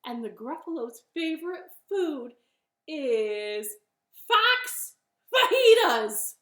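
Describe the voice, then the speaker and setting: A woman's voice: high voice, very animated, takes it slowly, a bit bright, pitched above the performer's own voice, heavily acted. A woman voicing a clever little female storybook bunny, hesitant and bluffing, with a secretly proud streak. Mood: nervous bluffing. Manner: hesitant, stalling ums and ohs. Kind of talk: animated children's storytelling. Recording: roomy, echoing sound.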